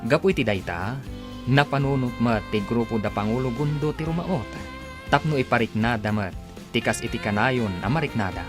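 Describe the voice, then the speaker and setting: A male announcer introduces a radio drama serial in a theatrical, declamatory style. His mid-pitched voice swings widely for emphasis. Music plays clearly behind the scripted narration. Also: lively intonation, moderate pace.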